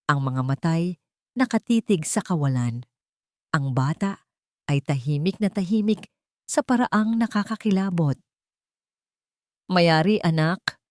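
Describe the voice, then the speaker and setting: A woman's voice: lowish voice, animated, a little slow, long pauses, a little mellow, no room sound, clean studio sound. A woman narrating a scripted story in a solemn, melodramatic manner.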